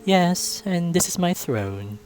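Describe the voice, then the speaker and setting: A man plays a regal beast king from a fantasy visual novel. The voice is lifted high, courteous and wry, with measured, formal phrasing that carries royal poise. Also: very animated, darkish tone, soft background music, heavily acted. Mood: proud, musing.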